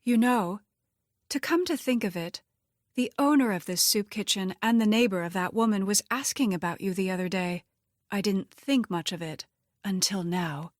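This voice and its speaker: A woman's voice: mid-range voice, normal speed, dry recording, close to an everyday voice. Narrator putting on a scheming female crook, audiobook character, menacing, taunting, condescending, close dry sound. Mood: hard, suspicious. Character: scheming, threatening, vain woman crime boss's underling.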